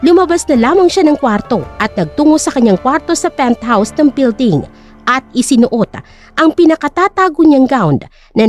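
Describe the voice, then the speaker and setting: A woman's voice: on the high side, no echo. A woman with a Filipino accent reading a scripted dramatic story as narrator.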